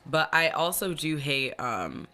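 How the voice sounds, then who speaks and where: Young woman guest, bright voice, lively rambling delivery with frequent "like". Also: low voice, a little slow. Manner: animated, lots of fillers and restarts. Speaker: podcast guest. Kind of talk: casual interview chat.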